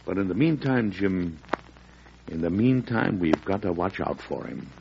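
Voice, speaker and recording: An actor playing a fatherly, principled early American president in old-time radio drama, speaking with measured earnestness that turns oratorical when roused. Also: sits low, lively intonation, gravelly, full character voice. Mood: guarded, firm. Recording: dull, noisy vintage radio recording.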